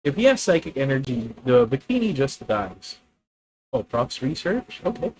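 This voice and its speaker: A young man with a Filipino accent streaming a card game, thinking out loud about his moves, correcting himself and talking to his viewers. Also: mid-range voice, very animated, moderate pace. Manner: thinking aloud, self-correcting, addresses viewers. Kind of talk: live gameplay commentary.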